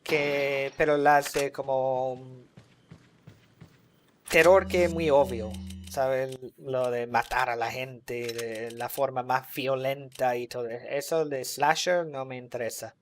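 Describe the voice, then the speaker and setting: Guy streaming and just chatting, rambly, lots of you-knows, mid pitch, bit of faint hiss. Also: measured pace. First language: English. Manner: rambling, relaxed, heavy on filler words. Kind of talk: casual chat with a live audience while gaming.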